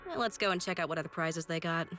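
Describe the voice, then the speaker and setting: A woman's voice: lowish voice, quick pace, a bit bright, soft background music, lightly acted. A woman voicing a curious, plain-spoken character in casual game cutscene dialogue.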